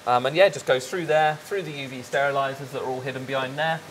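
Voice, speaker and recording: A young man on staff at a fish shop, explaining his tanks in a relaxed way with lots of ums and yeahs, recorded in a large indoor shop. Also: mid-range voice, quick pace, a little hiss or hum, low-quality recording. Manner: relaxed, explains with fillers like um and yeah. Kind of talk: casual walkthrough chat.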